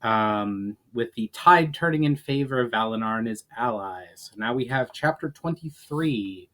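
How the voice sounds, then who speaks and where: Male author-narrator for fantasy storytelling, medium pitch, measured and formal delivery. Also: expressive, bright, forceful tone. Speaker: author reading own book. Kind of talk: dramatic audiobook-style narration of fantasy fiction.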